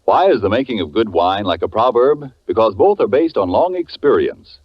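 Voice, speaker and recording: A man's voice: on the low side, animated, moderate pace, breathy edge. Swooping 1940s radio commercial announcer, male. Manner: polished, emphatic salesmanship. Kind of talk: 1940s radio advertisement read. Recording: muffled 1940s radio recording.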